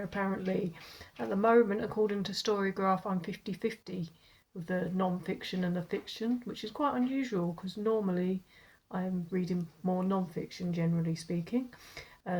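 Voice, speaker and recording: A woman's voice: medium pitch, darkish tone, low-quality recording. A woman reviewing a book in a casual chat to camera at home, speaking with a stuffy nose from a cold, rambling and restarting her words with frequent laughs.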